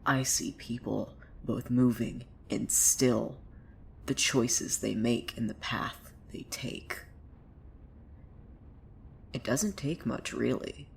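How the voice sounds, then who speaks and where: A woman as an unhinged, very low-voiced cult preacher. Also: fairly even intonation, slow, gravelly, pauses often, slight background noise, heavily acted. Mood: brooding, eerie. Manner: theatrical, swinging between hushed brooding and manic outbursts. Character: unhinged, bitter, foul-mouthed cult preacher with visions. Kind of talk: dramatic monologue in an audio fiction podcast.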